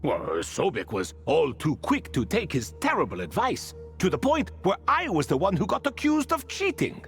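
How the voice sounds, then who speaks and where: An actor playing an ancient, wry and refined spirit who tells stories in a proud, wistful way in a video game. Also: male voice, high voice, wildly swooping pitch, moderate pace, fairly bright tone, soft background music, full character voice. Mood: fond, wry. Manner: theatrical, refined, leisurely storytelling. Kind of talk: video game character dialogue.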